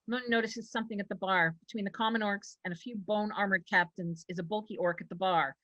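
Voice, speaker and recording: A woman's voice: medium pitch, fairly even intonation, fast, pushed and bright, muffled recording. A woman reading scripted narration and stage directions for a fantasy table read.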